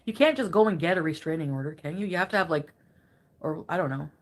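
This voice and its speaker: A woman's voice: sits low, fast. A woman talking in a casual livestream clip, emotional and defiant but hesitant. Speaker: streamer in a played clip.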